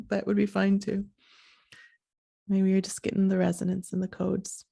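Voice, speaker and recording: Soft sleepy-voiced woman podcaster, gravelly. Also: mid-range voice, monotone. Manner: slow, halting, breathy and soft-spoken. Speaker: podcast host. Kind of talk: soft reflective monologue.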